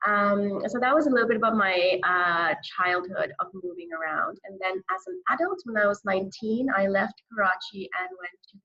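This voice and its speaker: A woman's voice: medium pitch, moderate pace, few pauses, sharp tone, dull audio. A woman from Pakistan telling her personal story conversationally as an interview guest. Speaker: guest author.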